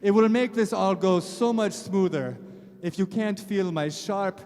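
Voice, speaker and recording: A man voicing a gleeful, flesh-eating zombie lord puppet in a theatrical comic act, with a gravelly voice and a grandiose, menacing manner. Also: sits high, darkish tone. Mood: showy, gloating. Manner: mock-grandiose, menacing, drawn-out delivery. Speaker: puppet show performer and singer. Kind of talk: theatrical comic monologue and song. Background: music.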